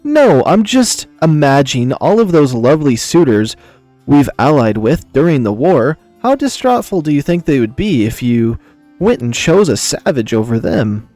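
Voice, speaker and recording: A man's voice: a little high, very animated, moderate pace, mellow, no room sound, soft background music. Man voicing a wry, teasing army general. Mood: sarcastic. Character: teasing male army general, loyal confidant to his emperor. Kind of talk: visual novel character voice.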